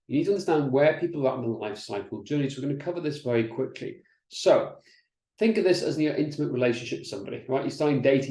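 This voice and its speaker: Man, middle-aged, business coach, mellow voice, chatty webinar delivery, roomy echo. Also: medium pitch. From Wales. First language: Welsh. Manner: energetic, chatty, with frequent restarts and "right?" checks. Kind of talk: presenting slides in an online masterclass.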